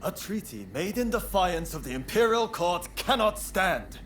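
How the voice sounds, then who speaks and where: An actor playing a fiery, proud and idealistic young samurai in a video game, with earnest, formal phrasing. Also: male voice, sits high, very animated, a little slow, fairly bright tone, faint music behind, heavily acted. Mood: indignant, resolute. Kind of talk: video game cutscene acting.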